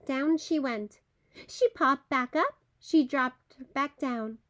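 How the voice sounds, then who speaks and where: A woman narrating a children's story aloud in an expressive storytelling style. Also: high voice, slow.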